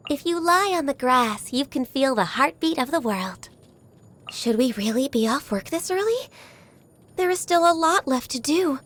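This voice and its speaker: A woman playing a shy, diligent half-human young secretary in a video game, soft-spoken and polite. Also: high-pitched. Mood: serene, then polite, dutiful. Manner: soft-spoken, polite, hesitant when flustered. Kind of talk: video game character voice lines.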